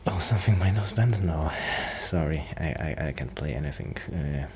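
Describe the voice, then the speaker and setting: A soft-spoken man rambling casually in a shy, hesitant, apologetic way, in the style of an ASMR friend roleplay. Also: very deep voice, expressive, moderate pace. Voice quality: soft-spoken, sometimes dropping toward a whisper. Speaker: ASMR roleplay performer playing a musician friend. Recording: clear background noise.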